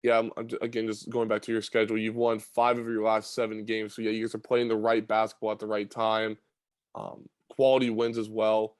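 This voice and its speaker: A man hosting a high school basketball podcast, asking long questions padded with fillers. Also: monotone, fairly quick, no room sound. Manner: chatty, filler-heavy questions. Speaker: podcast host. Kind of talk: sports interview podcast.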